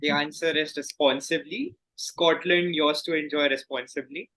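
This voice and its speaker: A man's voice: fairly high pitch, fairly even intonation, normal speed, bright, forceful tone, poor audio. A man reading quiz questions aloud as quizmaster, formal and courteous in manner.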